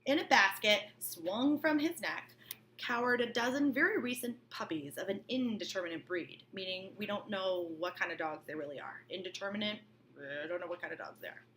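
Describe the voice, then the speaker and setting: A woman's voice: medium pitch, animated, moderate pace, a bit bright. A woman reading a novel aloud as a teacher, expressively, breaking off for informal explanatory asides. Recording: clear background room noise.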